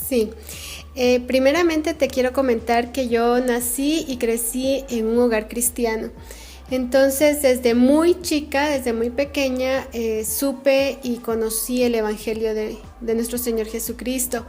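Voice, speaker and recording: A middle-aged woman with a Guatemalan accent speaks earnestly as an interview guest. Her voice sits a little high, and she stops to search for words often, filling the gaps with "este" and "eh". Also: steady tone, unhurried, a little mellow, soft background music. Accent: Guatemalan Spanish. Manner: earnest, frequent fillers like "este" and "eh". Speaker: missionary guest. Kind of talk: interview answers.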